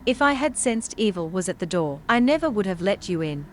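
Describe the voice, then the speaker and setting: A woman playing a dry, knowing comic shop owner in a comedy horror audio drama, deadpan and unimpressed. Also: mid-range voice, fairly quick, a little hiss or hum, lightly acted. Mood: dry, annoyed.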